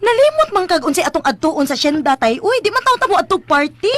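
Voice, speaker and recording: A woman's voice: very high-pitched, sing-song, fairly quick, a bit bright, heavily acted. A woman with a Cebuano Filipino accent playing a feisty, protective young farmer's daughter in a radio drama, whiny and emphatic. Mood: teasing scolding. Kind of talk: Philippine radio drama acting.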